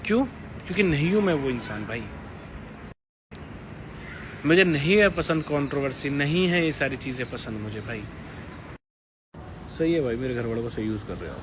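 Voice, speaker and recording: A man's voice: mid-range voice, animated, moderate pace, pauses often. Aggrieved young man, noisy recording. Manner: aggrieved, repetitive, insistent. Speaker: vlogger. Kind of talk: unscripted personal address to viewers.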